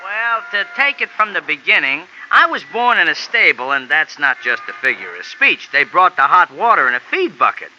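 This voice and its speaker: A man's voice: fairly high pitch, very animated, normal speed, hardly any pauses, pushed and bright, slight background noise, exaggerated voice. An actor playing a small, pompous, touchy male jockey who talks in a formal, stilted way, in 1950s radio drama style. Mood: earnest. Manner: formal, stilted, easily offended. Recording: lo-fi old radio broadcast.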